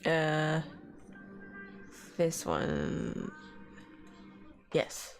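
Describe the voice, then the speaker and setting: A woman's voice: low-pitched, sing-song, very slow, creaky, soft background music. A woman hosting a casual live game stream, chatting with lots of ums while her nose is stuffy from a head cold. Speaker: streamer host. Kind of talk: casual live gameplay chat.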